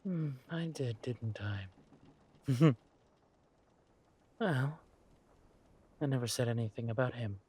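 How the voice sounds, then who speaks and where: A man playing a suave, ruthless villain lord in an audio drama, mock-polite and menacing, with a husky voice and drawn-out delivery. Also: medium pitch, expressive, a little mellow. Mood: silky threatening.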